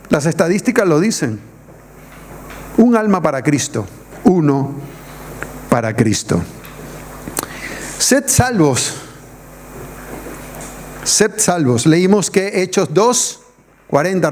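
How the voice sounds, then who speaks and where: A middle-aged man from the Canary Islands, raised in Venezuela, preaching an evangelistic sermon as a guest pastor and firing rhetorical questions at the congregation. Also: high-pitched.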